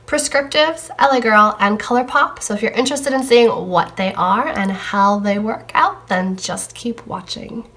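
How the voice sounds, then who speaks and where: A young woman's casual makeup review, her voice a little froggy and hoarse as she gets over a cold. She talks easily while she tries out products. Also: mid-range voice, measured pace, hardly any pauses, fairly bright tone. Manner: chatty, talking while applying makeup. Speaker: beauty vlogger.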